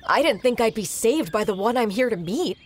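A woman voicing an adult informant in a video game, speaking in a measured, businesslike way. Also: fairly high pitch, expressive.

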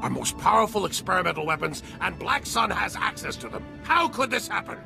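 Alien game officer, extremely creaky, highish pitch, urgent, formal, agitated. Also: male voice, expressive, a little fast, music in the background, heavily acted. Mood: alarmed. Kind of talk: video game character acting.

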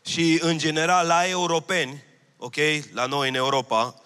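A man in his thirties preaches with a bright, forceful voice. He speaks into a handheld mic, earnest and repeating his key phrases. A long reverb trails after him in a large hall. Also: on the high side, clear, resonant tone. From Romania. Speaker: pastor. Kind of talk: sermon. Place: church stage, large hall.

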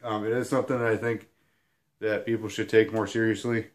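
A man in his thirties, hoarse and sniffling from a cold, giving a rambling, self-deprecating whiteboard lesson at home. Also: fairly low pitch, level tone, fairly quick. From Illinois. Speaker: outdoors channel host. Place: small room at home, indoors.

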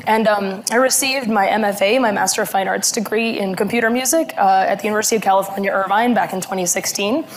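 A woman in her thirties, hoarse from laryngitis, giving a conference talk in a halting way with many fillers, speaking at a podium mic. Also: medium pitch, poor audio. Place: conference room.

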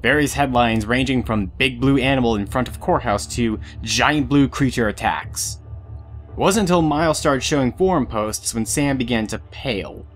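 A man's voice: medium pitch, normal speed, soft background music. A man reading scripted third-person narration for an audio drama.

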